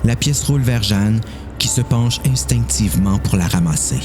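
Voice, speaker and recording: A man with a Quebec French accent reading a suspense story in a measured, deliberate way as the narrator of an audio drama. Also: on the low side, over music.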